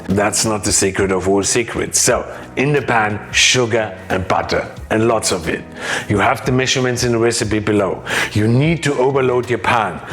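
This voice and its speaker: A man's voice: medium pitch, a bit bright. A middle-aged man from Austria, a chef and cooking instructor giving a lesson with enthusiasm and teasing promises of secrets. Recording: faint music underneath.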